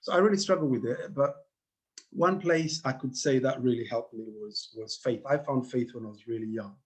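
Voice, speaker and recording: A middle-aged man from Tanzania, a panel guest telling his own story earnestly, halting and repeating words, over a video call. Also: mid-range voice, slightly rough. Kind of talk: personal testimony on a panel.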